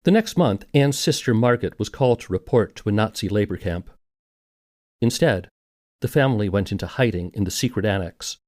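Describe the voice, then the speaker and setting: Low-voiced man narrating a podcast. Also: animated, a little fast, darkish tone, no echo, clean studio sound. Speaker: podcast host. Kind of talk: scripted documentary narration.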